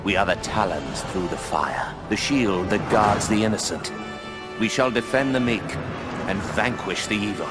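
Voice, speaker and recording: An actor playing a wise older male owl mentor in a video game, speaking in a measured, commanding way. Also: fairly low pitch, close to an everyday voice. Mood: solemn. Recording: game audio with music behind.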